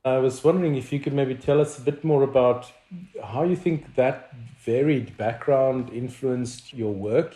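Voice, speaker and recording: A man hosting an interview, reading a prepared introduction and asking questions in a measured way. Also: mid-range voice, dark tone. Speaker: interviewer, media professor. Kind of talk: interview host. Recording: echoey room.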